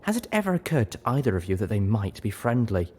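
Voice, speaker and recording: A man's voice: on the low side, sing-song, quick pace, a little mellow. A man voicing a refined, ladylike mare with a dry, sarcastic manner. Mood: exasperated.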